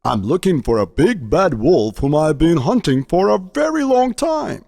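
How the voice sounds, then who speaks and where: A man's voice: a little high, sing-song, unhurried, vocal fry, dark tone, no room sound, heavily acted. A man playing a stern, protective hunter in a children's fairy tale, speaking in a theatrical, instructive way. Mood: stern concern. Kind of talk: animated fairy tale character acting.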